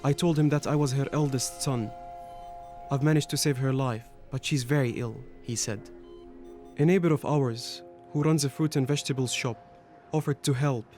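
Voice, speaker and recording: A man playing a somber Syrian activist, reading a measured, grave first-person diary as narration for a radio documentary. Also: medium pitch, fairly even intonation, darkish tone, close to an everyday voice. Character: Syrian activist recounting life under occupation, somber. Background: music.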